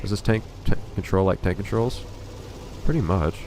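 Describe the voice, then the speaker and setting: Stuffy low-voiced man playing a game. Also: moderate pace, background noise. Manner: dry, wry, musing commentary. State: stuffy, congested. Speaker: let's play host. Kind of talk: casual gameplay commentary.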